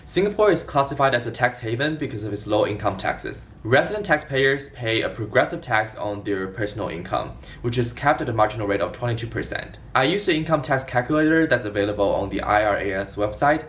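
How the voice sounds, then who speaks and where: Young male vlogger, twenties, fast and organized explainer read, recorded at a distance from the mic. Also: medium pitch, expressive, fairly bright tone. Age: 20s. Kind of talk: scripted explainer vlog to camera.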